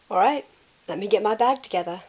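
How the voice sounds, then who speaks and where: A woman's voice: mid-range voice, a little mellow, slightly rough, poor audio. Woman playing a kind, caring young woman. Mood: friendly, easygoing. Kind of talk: audio drama acting.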